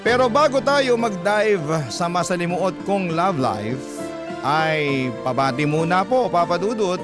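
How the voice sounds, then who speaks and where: Male radio narrator, slightly high voice, dramatic storytelling, music behind. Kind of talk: dramatic scripted letter narration, classic radio.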